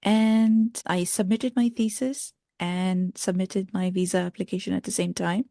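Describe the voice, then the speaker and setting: A woman's voice: medium pitch, fairly even intonation, unhurried, gravelly, warm tone, no echo, clean studio sound. A woman in her thirties from Pakistan with a Pakistani accent, a non-native English speaker telling her story as a friendly podcast guest who laughs often. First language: not English. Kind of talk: podcast interview.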